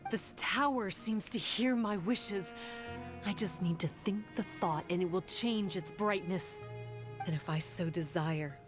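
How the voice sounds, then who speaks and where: A woman's voice: mid-range voice, natural voice. A woman voicing a guarded, solemn young female creature in a video game, formal and grave, bitter toward humans. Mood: cold, defiant. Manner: formal, grave, measured wording. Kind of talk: video game character dialogue. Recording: game music behind the voice. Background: background music.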